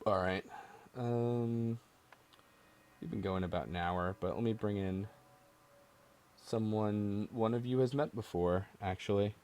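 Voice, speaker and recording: A man's voice: lowish voice, a little slow, pauses often, darkish tone, clear, resonant tone. A man hosting a call-in podcast, chatting casually with a jokey, ribbing manner. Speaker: podcast host taking callers. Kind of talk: casual chat.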